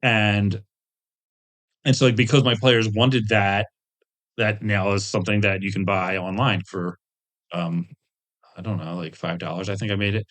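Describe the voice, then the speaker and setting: A man's voice: on the low side, fairly even intonation, normal speed, long pauses, a bit bright. A man telling rambling, self-deprecating anecdotes with jokey asides as a guest in a casual interview. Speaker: guest, tabletop game designer and webcomic artist.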